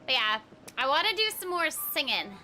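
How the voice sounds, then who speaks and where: Bubbly, high-voiced woman from Texas. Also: brisk, soft background music. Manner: bubbly, giggly, animated. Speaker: voice actor streaming a game. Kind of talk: casual chat with a live stream audience.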